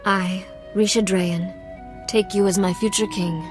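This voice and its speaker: A woman's voice: mid-range voice, steady tone, slow, music in the background, lightly acted. An actress playing a sly, sarcastic young woman smuggler and royal heir in a video game, wry, teasing and self-assured. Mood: teasing, affectionate. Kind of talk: scripted video game dialogue.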